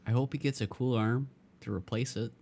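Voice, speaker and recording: A man's voice: medium pitch, fairly even intonation, brisk, creaky, a little mellow. A man streaming a game and reacting bluntly, with swearing, to the cutscenes. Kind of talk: casual live-stream commentary on a game. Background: game audio and music.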